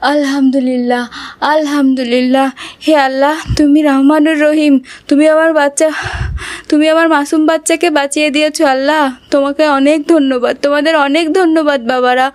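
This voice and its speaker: Young mother female actor, children's cartoon: high-pitched, devout, fervent, highly emotional. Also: little pitch movement, brisk, natural voice. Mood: relieved, grateful. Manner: pleading, repeats phrases fervently.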